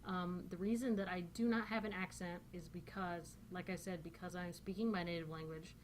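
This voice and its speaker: A woman's voice: lowish voice, steady tone, fairly quick, a little hiss or hum. A woman with a central Northern Californian accent chatting casually through a questionnaire, rambling with many fillers. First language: English. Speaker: vlogger. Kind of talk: casual chat answering a dialect questionnaire.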